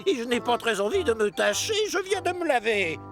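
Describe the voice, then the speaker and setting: A pompous cartoon locomotive, pitched very high and swinging wildly up and down. He is fussy and self-important, forever grumbling, with faint music behind him. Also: sing-song, a little fast, exaggerated voice. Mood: indignant, stubborn. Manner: haughty, complaining, self-important. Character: proud, fussy, grumbling male steam locomotive. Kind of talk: French-dubbed children's cartoon acting.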